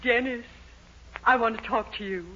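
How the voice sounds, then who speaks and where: A woman's voice: fairly high pitch, steady tone, moderate pace, pushed and bright. A woman playing a gushing, scheming middle-aged landlady wife in a 1940s radio sitcom, theatrical and domineering. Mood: coaxing. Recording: noisy, muffled 1940s radio recording.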